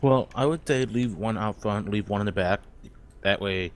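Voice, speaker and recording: A man's voice: lowish voice, normal speed. A man casually talking tactics as a player in an online role-play game on voice chat.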